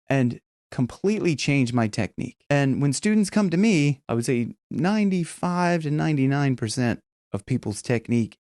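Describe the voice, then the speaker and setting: A man's voice: mid-range voice, a little mellow, no room sound, clean studio sound. A man teaching singing in a casual, chatty video lesson, talking emphatically and breaking off into short vocal demos.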